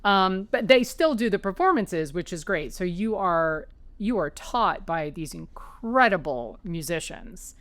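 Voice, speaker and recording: A woman's voice: fairly low pitch, expressive, a little slow, fairly bright tone, dry recording. A woman author being interviewed at home, chatty and anecdotal, restarting sentences as she talks. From New York area, raised as an Army child. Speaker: author guest. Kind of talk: conversational interview. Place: her home.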